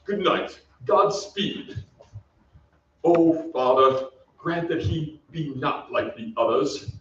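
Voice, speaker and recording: A man's voice: on the high side, expressive, takes it slowly, fairly bright tone. A man playing a weary, courtly old English ghost knight in an old-time radio play, with archaic, theatrical, solemn delivery. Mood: grateful, pleading. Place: theatre stage. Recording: echoey stage, distant mic, dull, hissy.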